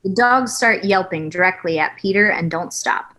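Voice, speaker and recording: A woman's voice: lowish voice, moderate pace, sharp tone. A woman narrating stage directions in a scripted style for a comedy table read.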